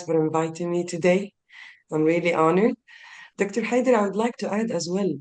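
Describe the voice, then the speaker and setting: A woman's voice: on the low side, darkish tone. A woman speaking as a guest at an online business summit, effusive and earnest, over a video call.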